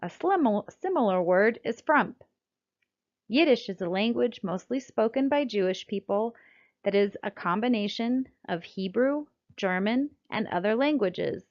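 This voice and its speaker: A middle-aged woman with an American accent reads aloud like a children's author presenting a book. Her pitch sits in the middle range and her delivery is deliberate and expressive. The recording is a bit dull and lo-fi. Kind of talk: scripted read-aloud storytelling and narration. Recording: dull, lo-fi sound.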